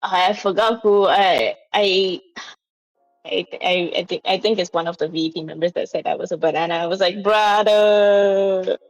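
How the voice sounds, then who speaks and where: Young woman, halting casual chatter. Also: medium pitch, fairly even intonation, faint music behind, rough recording. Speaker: podcast guest. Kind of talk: casual chat.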